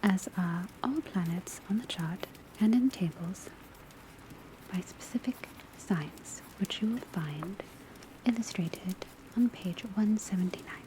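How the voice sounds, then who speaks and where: A woman playing a patient, studious witch school teacher in an ASMR roleplay, whispering softly and deliberately as she lectures. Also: mid-range voice, close to an everyday voice. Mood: calm, methodical. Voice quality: soft, breathy whisper. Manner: measured, deliberate, instructional. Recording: crackling fire, rain, pencil noise behind. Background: crackling fireplace, rain on roof, pencil writing.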